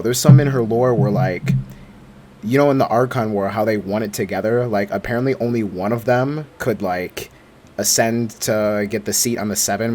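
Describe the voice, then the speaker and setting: A man's voice: lowish voice, darkish tone, slight background noise. Slangy young man reacting to a game. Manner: slangy, informal. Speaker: gaming video creator. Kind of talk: casual reaction commentary.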